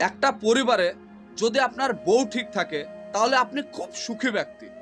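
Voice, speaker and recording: Very high-pitched man preaching a moral. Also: animated, moderate pace, fairly bright tone, soft background music. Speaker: narrator. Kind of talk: moralizing closing address to viewers.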